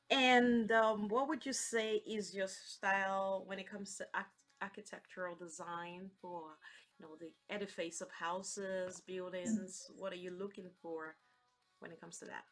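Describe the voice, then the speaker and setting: An effusive woman works as an interview host, speaking at a medium pitch. She is chatty, encouraging and friendly with her guests. Music plays faintly alongside her voice. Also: steady tone, measured pace, fairly bright tone.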